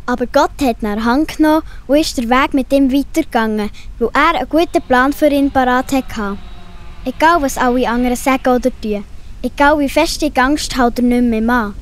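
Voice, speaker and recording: Woman as a high-voiced, plucky little girl, Swiss-accented. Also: a little fast, lightly acted. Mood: resolute. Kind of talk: children's audio play acting.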